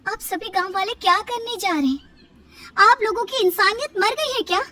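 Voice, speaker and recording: A woman's voice: extremely high pitch, animated, moderate pace, bright, forceful tone, far from the mic, voice pushed up, heavily acted. A woman voicing a kind, protective, principled village mother in a moral-story cartoon. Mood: indignant.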